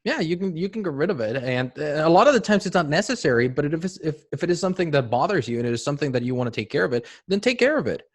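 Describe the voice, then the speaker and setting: A man's voice: on the high side, sing-song, rapid-fire, few pauses, no echo, studio quality. A man from Mexico who speaks Spanish as a first language, hosting a podcast and talking in a conversational way that often restarts phrases. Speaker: podcast host. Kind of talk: casual interview conversation.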